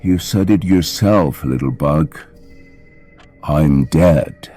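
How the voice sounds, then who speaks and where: Very deep, sinister undead father. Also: dark tone, faint music behind, full character voice. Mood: mocking, tender. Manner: slow, taunting, theatrical. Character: undead father with blank eyes, menacing, mockingly tender. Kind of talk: audio drama acting.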